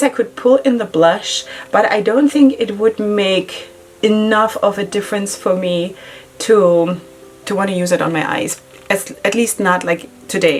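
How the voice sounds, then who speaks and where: A middle-aged woman who speaks English as a second language chats casually through a makeup routine, giggling often and filling pauses with "you know" and "like". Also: mid-range voice, a little mellow, a little hiss or hum. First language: not English. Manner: chatty, giggly, many fillers. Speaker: beauty vlogger. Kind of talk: casual makeup tutorial chat. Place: home makeup setup in Israel.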